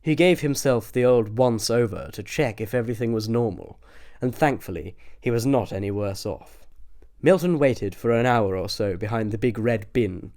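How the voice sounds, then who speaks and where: A man's voice: medium pitch, moderate pace, a little mellow. A man with a British accent reading scripted comic story narration as an audiobook narrator.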